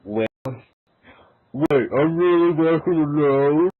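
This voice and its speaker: A man's voice: on the high side, slow, dry recording. A man taking part in an amateur script reading, chatting casually between his lines. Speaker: voice reader in a group fan-fiction reading. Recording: muffled tinny voice call.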